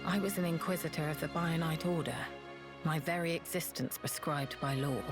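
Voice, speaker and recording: An actress playing a proud, guilt-burdened former assassin in a video game, with a curt, formal way of talking. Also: female voice, sits low, moderate pace, over music, close to an everyday voice. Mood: solemn, resigned. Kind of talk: video game cutscene acting.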